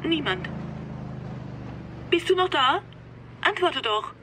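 High, bright-voiced worried mother. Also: lively intonation, slow pace, slight background noise, heavily acted. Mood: anxious. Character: worried mother answering the telephone. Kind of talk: radio play acting.

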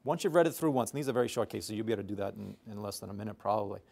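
A man's voice: on the low side, animated, very fast, gravelly, a little mellow. A middle-aged man, a professor, teaching informally and explaining step by step in a conversational way in a classroom. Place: tiered university classroom.

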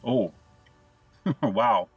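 A man in his forties reviewing fast food from inside a parked car, upbeat and enthusiastic, talking to viewers. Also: mid-range voice, husky, no room sound, far from the mic, soft background music. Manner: upbeat, enthusiastic, often says "guys".

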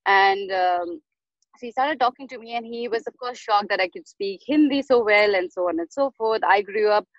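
A woman's voice: mid-range voice, no room sound. Indian woman, raised in Delhi, panel guest, reflective and hesitant, dry muffled call audio. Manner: thoughtful, hesitant, many "uh" pauses. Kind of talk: conversational storytelling. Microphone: video call.

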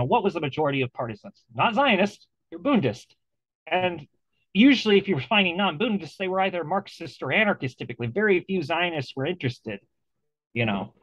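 A man's voice: a little high, animated, fairly quick, a bit bright, dry recording, highs cut off. A man hosting an interview over a video call, talking casually and animatedly, with many restarts and fillers. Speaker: interview host.

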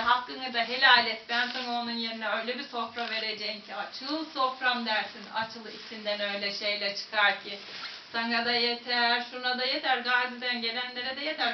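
A woman's voice: on the high side, slight background noise, pitched below the performer's own voice, natural voice. A grand genie of the well, told by a woman. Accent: Central Anatolian Turkish. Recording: distant, echoey, dull recording.

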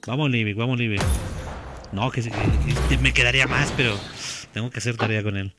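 A man's voice: medium pitch, steady tone, fairly quick, loud music behind the voice. Streamer guy in his 30s, casual talky gaming chat, headset mic, repeats himself a lot. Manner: chatty, repeats words, laughs often.

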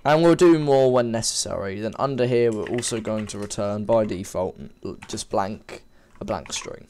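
Sniffly man with a cold, low voice. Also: moderate pace, a little mellow. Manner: informal, rambling, self-correcting while typing. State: has a cold, a bit sniffly. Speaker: game development tutor. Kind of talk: casual screen-recorded coding tutorial. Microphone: desk microphone.

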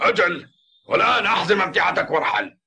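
An old mountain grandfather for a cartoon. He is gruff and commanding, yet caring. The voice is fairly high for a man, animated and piercingly sharp. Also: fast talker, full character voice. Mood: brisk. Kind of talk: Arabic-dubbed cartoon acting.

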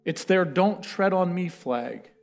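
A man in his thirties preaching from a church stage, telling history with lively, building emphasis. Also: sits high, slow pace, vocal fry, faint music behind. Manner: animated storytelling, building to loud chanted quotes. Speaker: pastor. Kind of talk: sermon. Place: church stage with a lectern, large indoor room.

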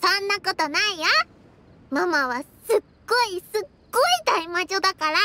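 Very high, cheerful little girl. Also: moderate pace, noisy background. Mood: happy, devoted. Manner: childlike, refers to herself by name, simple exclamations. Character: cheerful, excitable, innocent little girl who loves explosions. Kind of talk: Japanese anime game voice acting.

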